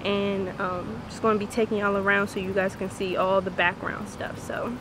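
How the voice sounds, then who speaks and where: A young woman chatting informally and casually as a vlogger outdoors, recorded on a camera with noisy, rumbling sound. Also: level tone, normal speed, over loud music. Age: young adult. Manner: informal, slangy. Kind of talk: casual behind-the-scenes vlog chat. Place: outdoor parking lot.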